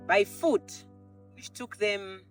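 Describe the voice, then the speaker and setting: Slow, halting man with a Swahili accent. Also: high voice, fairly bright tone, clean tone, faint music behind. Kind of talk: speaking at a memorial spot. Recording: distant from the mic, outdoors.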